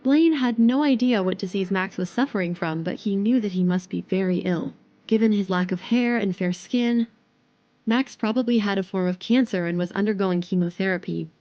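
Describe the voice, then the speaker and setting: Female narrator for scripted audio fiction, dark mellow tone, medium pitch, slightly dull recording. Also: moderate pace, dry recording.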